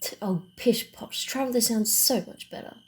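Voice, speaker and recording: A woman voicing a proud, playful vampire wanderer in an ASMR roleplay, halting and teasing, easily flustered. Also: on the high side, darkish tone, close to an everyday voice. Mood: playfully indignant. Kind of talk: ASMR roleplay, acted one-sided dialogue.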